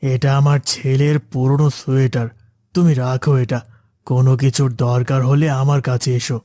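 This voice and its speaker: A man voicing a considerate, mild-mannered employer in an animated moral story. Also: medium pitch, normal speed, no room sound, studio quality, close to an everyday voice. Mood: kindly. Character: kindly, considerate male garden owner and employer.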